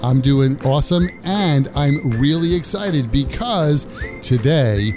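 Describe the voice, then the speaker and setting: A man's voice: on the high side, lively intonation, slow, a little mellow, faint music behind. A man hosting an English-learning podcast, upbeat and casual with frequent hesitant fillers. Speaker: podcast host.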